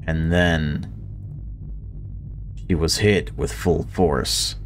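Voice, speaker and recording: A man's voice: rumbling low, fairly even intonation, drawn-out words, a little hiss or hum. A man reading visual novel story text aloud and adding casual commentary as a gaming video creator.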